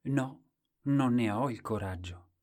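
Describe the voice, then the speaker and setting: A man's voice: mid-range voice, takes it slowly, a little mellow, natural voice. A man voicing a meek, candid and eager court fool in a storybook tale, simple and earnest in manner.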